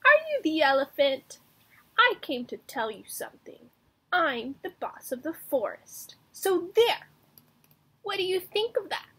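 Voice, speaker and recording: A woman's voice: high voice, very animated, measured pace, a bit bright, pitched above the performer's own voice, full character voice, rough recording. A woman voicing a boastful, threatening little male mouse in a children's storybook, with bragging, emphatic delivery. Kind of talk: children's storybook read-aloud.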